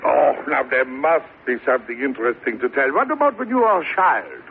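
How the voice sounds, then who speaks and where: An excitable, flustered radio-comedy psychiatrist. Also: male voice, mid-range voice, brisk, creaky. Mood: coaxing, flustered. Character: chummy, easily flustered male psychiatrist. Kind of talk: 1940s-50s radio sitcom acting. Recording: noisy old-time radio, tinny, audience.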